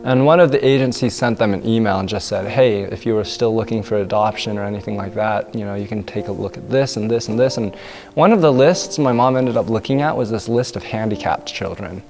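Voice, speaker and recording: A young man in his twenties, born in northern China, speaking softly and reflectively about his adoption. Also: fairly low pitch, a little fast, over music. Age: 20s. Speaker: interviewee. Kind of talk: personal testimony.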